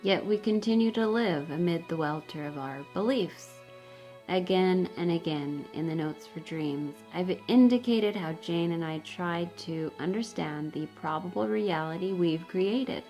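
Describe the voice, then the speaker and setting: A middle-aged woman reads a book aloud in a measured, deliberate way, as in an audiobook. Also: lowish voice, warm tone. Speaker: reader of a book for online videos. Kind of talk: unhurried read-aloud narration of a book. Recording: music behind the voice. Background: faint background music.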